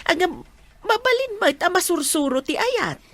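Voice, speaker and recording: A woman's voice: extremely high pitch, big pitch swings, measured pace, a bit bright, dry recording, heavily acted. An actress playing a caring, inquisitive mother in an Ilocano radio drama, with an Ilocano accent. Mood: gently advising. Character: caring, inquisitive older mother advising her daughter.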